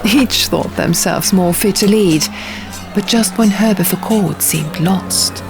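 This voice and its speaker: A woman voicing an earnest young man who recounts dark ancient lore in an audiobook, measured and theatrical, pausing for effect. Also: medium pitch. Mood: dramatic, pointed. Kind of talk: audiobook character dialogue. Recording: music bed under the reading.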